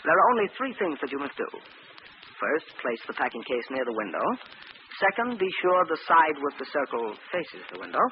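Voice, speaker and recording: A man's voice: on the high side, very animated. A man acting a scheming, persuasive scientist who speaks formally and precisely in a 1940s radio drama. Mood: instructing. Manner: formal, measured, instructing. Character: smooth-talking, scheming male scientist, controlling and persuasive. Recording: muffled, noisy old radio broadcast.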